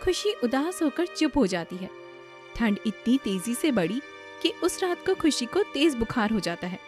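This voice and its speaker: A woman's voice: on the high side, big pitch swings, moderate pace, darkish tone, faint music behind. A woman narrating a scripted story.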